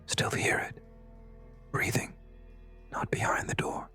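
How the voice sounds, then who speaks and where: Whispering horror narrator over music. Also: takes it slowly. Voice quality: breathy unvoiced whisper. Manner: hushed, dramatic delivery in short suspenseful phrases.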